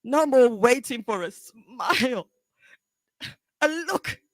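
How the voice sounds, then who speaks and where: A woman performing a dramatic monologue as a bitter, wounded grown daughter confronting her neglectful mother in halting, emotional, accusing speech. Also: fairly high pitch, animated, dry recording, clean studio sound. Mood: resolved, bitter.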